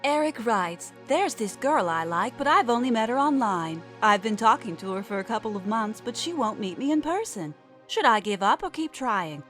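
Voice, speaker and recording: Measured woman narrator over music. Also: medium pitch, fairly bright tone. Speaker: podcast writer and narrator. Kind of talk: scripted fiction narration, mock advice column.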